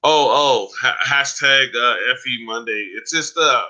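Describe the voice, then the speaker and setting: A man hosts a casual live stream and talks straight to his viewers, with lots of fillers and "man" at the ends of lines. His voice is very bright and tense, and it is getting hoarse after a long time on air. He has a mid-range pitch and rambles loosely from one point to the next. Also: unhurried, rough recording. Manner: addresses viewers by name, says "man" often. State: voice going hoarse.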